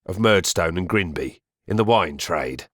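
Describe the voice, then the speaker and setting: Man playing deep voice, stern middle-aged stepfather, domineering, pompous, deliberate, close dry sound, classic-novel audio drama. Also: expressive, no echo. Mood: cold, brisk.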